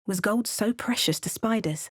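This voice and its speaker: A woman's voice: mid-range voice, moderate pace, lightly acted. A woman voicing a wry, resolute female adventurer in a video game, making dry understated remarks and narrating. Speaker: player character.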